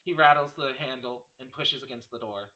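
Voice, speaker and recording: A man's voice: medium pitch, normal speed, pushed and bright, lo-fi sound. A man narrating stage directions from a script at a virtual table read.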